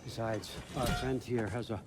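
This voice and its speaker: An actor playing a sly patriarch god in a video game, feigning friendliness with casual, insinuating menace. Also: male voice, medium pitch, steady tone, heavy background noise, lightly acted. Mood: smug, casual. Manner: conversational, insinuating, unhurried. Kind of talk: video game cutscene acting.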